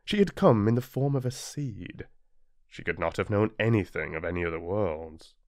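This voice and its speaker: A man's voice: on the low side, animated, moderate pace, a little mellow, no echo, clean studio sound. A British man narrating a children's storybook expressively, as for an audiobook. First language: English.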